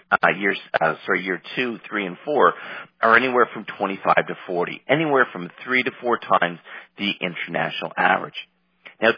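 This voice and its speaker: A man with a head cold, sounding nasal and slightly raspy, coaching a group over a conference call. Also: on the low side, no room sound. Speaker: practice coach. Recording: dull conference call line.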